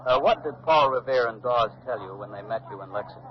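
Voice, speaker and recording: An actor playing a courteous, probing male field reporter in a measured 1940s radio news style. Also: mid-range voice, close to an everyday voice. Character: brisk, probing male newsman reporting live from colonial town. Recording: dull, noisy old 1940s radio recording.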